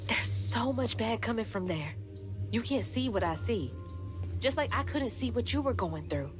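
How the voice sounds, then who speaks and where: A woman's voice: a little high, lively intonation, moderate pace, lightly acted. A young woman playing a brave, earnest, determined daughter and hero in a video game cutscene. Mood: pleading, determined. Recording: loud music under the voice.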